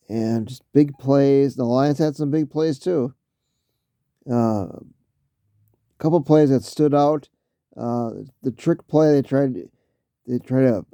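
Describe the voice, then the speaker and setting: Gravelly, dark-voiced man losing his voice. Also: mid-range voice, no echo. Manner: halting, many fillers and restarts, frequent throat clearing. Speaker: vlogger talking football. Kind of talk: casual spoken commentary.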